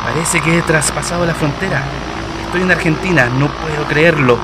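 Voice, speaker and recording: Man acting brave, high-pitched pioneer aviator, loud noise. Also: moderate pace, lightly acted. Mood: amazed. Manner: theatrical, exclamatory, talking to himself. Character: Chilean pioneer aviator, brave, excited, anxious but determined. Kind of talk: radio drama monologue. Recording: loud engine-like noise behind the voice.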